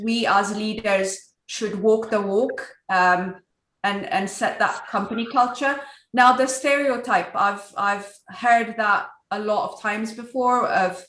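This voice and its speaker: A woman's voice: on the high side, a bit bright. A woman from Ethiopia speaking earnestly as a guest on a virtual panel, with a strong voice. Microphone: video call. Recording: echoey room over a video call.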